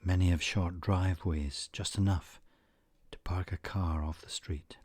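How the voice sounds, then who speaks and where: Slow, low-voiced man guiding meditation. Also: fairly even intonation, a little mellow, breathy edge, no room sound. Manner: soothing, measured, with frequent pauses. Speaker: meditation guide. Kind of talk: guided meditation narration, scripted.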